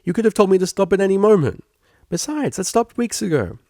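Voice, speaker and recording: A man's voice: high-pitched, very animated, a little fast, mellow, no echo, studio quality, pitched above the performer's own voice. A man voicing a breezy, earnest male pony tutor and counselor who talks casually and emphatically in a story. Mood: defensive.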